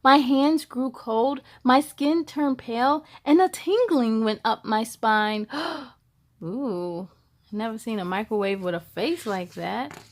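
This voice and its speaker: A woman hosts a children's storytime in a high voice. She reads at an unhurried, slow pace with animated expression, slipping in casual, wondering asides for young listeners. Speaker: storytime host reading a children's picture book.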